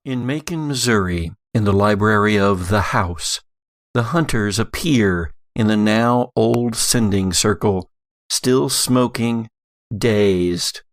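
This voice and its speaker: A man's voice: lowish voice, takes it slowly. A man narrating a scripted audio drama in a dramatic storytelling style. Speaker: narrator and game master.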